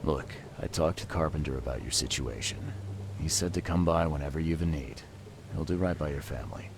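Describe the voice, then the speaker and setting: An actor playing a gruff, weary investigator in a video game, plain-spoken and terse, with a calm, fair-minded manner. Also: male voice, extremely low pitch, fairly quick, background noise. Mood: reassuring. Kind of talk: video game cutscene dialogue.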